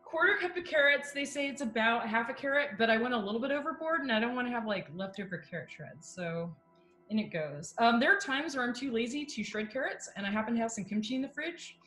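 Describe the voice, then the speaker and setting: A woman's voice: on the high side, lively intonation, fast talker, a bit bright. A woman in her thirties chatting casually while she cooks at home, talking on a video call with plenty of fillers and asides. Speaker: composer and guest. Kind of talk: casual chat while cooking. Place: home kitchen, indoors. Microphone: video call laptop or webcam. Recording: echoey kitchen, faint music.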